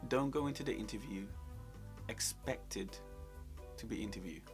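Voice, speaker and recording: A man's voice: medium pitch, moderate pace, over music. A man co-hosting a career advice talk, coaching conversationally and emphatically, repeating points to drive them home. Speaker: co-host giving job interview advice.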